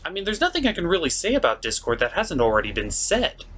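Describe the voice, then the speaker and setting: A man hosts a casual cartoon review in a very animated voice. His delivery is theatrical and emphatic, pitched a little high for a man. Some noise sits behind the voice. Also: fast talker. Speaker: cartoon reviewer and show host. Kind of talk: casual scripted review commentary. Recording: noticeable background noise.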